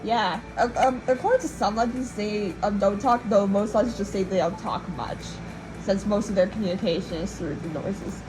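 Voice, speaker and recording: A woman's voice: mid-range voice, steady tone, moderate pace, music in the background, rough recording. A woman casually retelling a legend to a co-host in a rambling, informal and playful way. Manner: informal, rambling, playfully acting out sounds and voices. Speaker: podcast host and storyteller.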